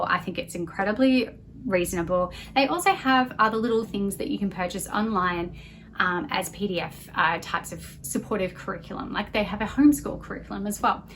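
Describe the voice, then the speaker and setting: A woman in her thirties giving a casual, conversational review to camera as a homeschooling parent, softening her points as she goes. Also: mid-range voice. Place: small home office, indoors. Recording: clear background room noise.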